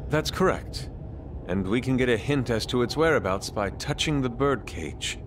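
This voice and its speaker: An actor playing a solemn, eloquent young thief in an anime game, with a formal, grave and theatrical manner. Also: male voice, medium pitch, lively intonation, normal speed, background noise, natural voice.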